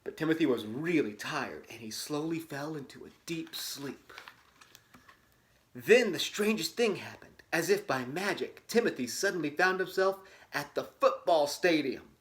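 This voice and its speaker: A man's voice: a little high, lively intonation, normal speed, a bit bright. A man reading a storybook dramatically, breaking off for wry, tongue-in-cheek casual commentary. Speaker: host reading a children's book aloud with asides.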